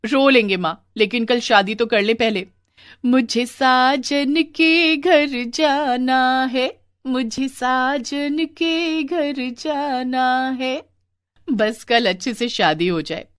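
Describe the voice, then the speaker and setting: Excitable girlish young bride, put on by a woman. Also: sits high, extremely slow, clean tone, no echo, studio quality, voice pushed up. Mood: excited. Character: excited, giggly young bride-to-be, grumbling about relatives. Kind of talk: animated Hindi family story acting.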